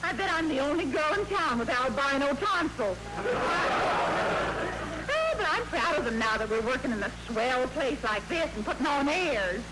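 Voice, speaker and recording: A woman playing a wisecracking, man-hungry spinster maid in a 1940s radio comedy, with dry, deadpan delivery. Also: sits high, normal speed, over loud music. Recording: crackly old radio broadcast, loud hiss.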